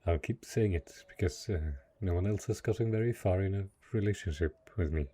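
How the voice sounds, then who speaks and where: A man playing a lonely, possessive dark knight in a fantasy romance audio roleplay, speaking in hesitant, earnest, formal phrases with a low, husky voice. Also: a little fast. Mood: earnest, vulnerable.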